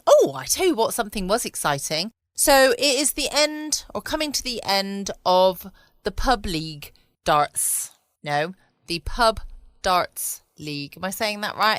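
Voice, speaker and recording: A southern English woman hosts a casual bedtime podcast. She is chatty, playful and gently self-mocking, at a medium pitch. The home recording is dry, with no room echo. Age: adult past her twenties. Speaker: podcast host.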